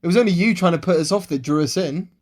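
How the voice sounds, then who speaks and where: Guy doing an earnest amateur detective, pretty high voice, insistent, radio play. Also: quick pace. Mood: explaining. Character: dogged amateur sleuth confronting a suspect, insistent. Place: recorded alone at home, indoors.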